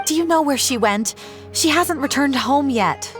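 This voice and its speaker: A woman's voice: high-pitched, lively intonation, normal speed. Anxious, loving mother. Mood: worried. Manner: worried, earnest, apologetic. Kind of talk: video game character acting. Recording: music under the voice.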